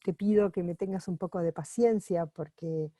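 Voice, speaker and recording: A woman reading a book aloud while translating it on the fly, pausing often with fillers and restarts. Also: on the low side, steady tone, dark tone, no echo. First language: not Italian. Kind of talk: unscripted sight-translation reading.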